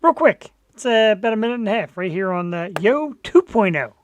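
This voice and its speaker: A man co-hosting a casual live talk show, chatty and quick to laugh. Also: sits high, animated, a little hiss or hum. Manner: chatty, laughs often, hypes the guest. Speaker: co-host.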